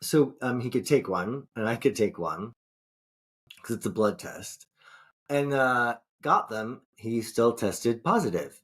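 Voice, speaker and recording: A man's voice: medium pitch, expressive, takes it slowly, a bit bright. A man co-hosting a podcast in casual chat, rambling and swearing, often restarting his sentences. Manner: rambling, profane, frequent restarts. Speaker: podcast co-host.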